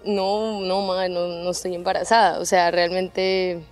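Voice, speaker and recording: A woman's voice: fairly low pitch, unhurried, soft background music. A woman in her twenties with a Colombian accent from Cali, an army officer telling personal stories expressively and informally. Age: 20s. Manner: expressive, informal, acts out remembered dialogue. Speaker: army junior officer, interview guest. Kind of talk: personal storytelling in an interview. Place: open front of a military hangar. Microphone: handheld microphone.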